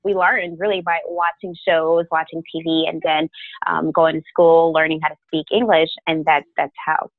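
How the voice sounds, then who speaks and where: A woman in her thirties whose first language is French talks casually as a podcast guest, hesitant and filling pauses with ums. Her voice sits a little low. It comes through a tinny, dry phone line. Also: level tone, dry recording. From born in Africa, grew up in Quebec, Canada. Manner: hesitant, many ums, laughs easily. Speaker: podcast guest, business owner. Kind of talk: casual interview chat.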